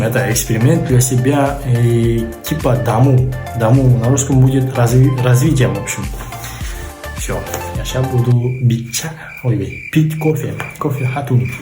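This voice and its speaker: Young man, halting vlog chat, mid-range voice, clear music underneath. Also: mid-range voice. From Kazakhstan. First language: Kazakh. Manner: halting, searching for words, many fillers. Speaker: vlogger. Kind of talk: casual vlog talk.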